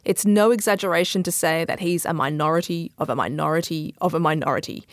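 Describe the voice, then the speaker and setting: A woman hosts a podcast, her voice sitting a little low for a woman. She reads her intro in a measured way and asks gently probing questions. The sound is dry and close, with no room echo. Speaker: podcast host. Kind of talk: scripted intro and interview questions.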